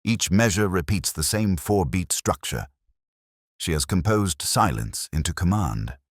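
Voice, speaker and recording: A man acts out a brilliant gentleman detective for a mystery audiobook. The voice is extremely low and grave, with deliberate, incisive phrasing and theatrical swings of intonation. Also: animated, dry recording, clean studio sound. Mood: grave, brooding.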